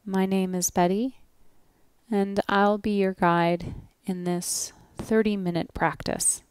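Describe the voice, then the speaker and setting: Slow, smooth-voiced woman guiding relaxation. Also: medium pitch, level tone, no room sound, clean studio sound. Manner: soothing, gentle, unhurried instruction with long pauses. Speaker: yoga nidra instructor. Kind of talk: guided relaxation meditation.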